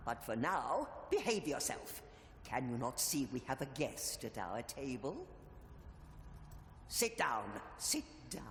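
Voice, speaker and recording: Bitter, theatrical sibling in echoing gloom. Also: male voice, mid-range voice, sing-song, slow pace, fairly bright tone, exaggerated voice. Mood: grandly welcoming. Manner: archaic, grandiose, menacing diction. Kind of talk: scripted dramatic game dialogue. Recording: echoing hall, long reverb, faint hiss.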